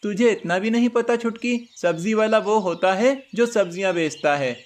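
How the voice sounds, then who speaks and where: A man's voice: sits high, normal speed, lightly acted. A man voicing a teasing, patient older brother, a young prince who explains things in a cartoon story. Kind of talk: cartoon moral story acting.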